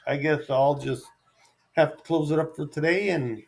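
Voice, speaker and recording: A middle-aged man rambling unscripted to his viewers as a solo vlogger, halting and easygoing, with self-deprecating asides. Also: on the high side, steady tone, creaky.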